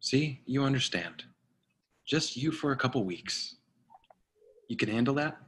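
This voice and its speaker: An actor playing a stern, commanding agency boss with a firm, authoritative manner, in an online screenplay reading. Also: male voice, medium pitch, expressive, measured pace, a bit bright, slightly rough. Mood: firm, placating. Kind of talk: online screenplay table read.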